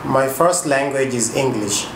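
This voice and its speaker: A man's voice: mid-range voice, unhurried. A man from Kenya at an indoor table, testing a small robot with short commands and simple questions. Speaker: robot demonstrator. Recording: echoey room with noise.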